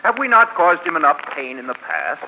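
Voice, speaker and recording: A man's voice: fairly high pitch, huge pitch swings, fairly quick, noisy background. A man voicing a cheerful, gallant outlaw leader in a 1940s radio story, speaking in old-fashioned storybook style over an old radio recording. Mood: teasing.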